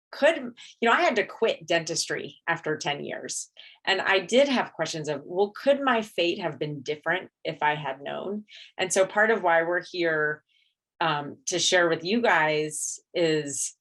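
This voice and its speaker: A woman's voice: on the low side, a bit bright. A middle-aged woman who is a dentist, giving a candid, reflective talk at a conference. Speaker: dentist and speaker.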